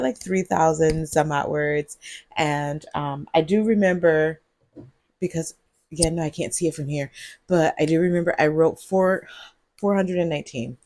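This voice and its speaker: A woman vlogger, groggy on cold medicine, talking to her viewers in a husky voice and rambling with giggles. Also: fairly low pitch, a little mellow, dry recording, studio quality. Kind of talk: casual vlog monologue. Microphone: earbuds mic.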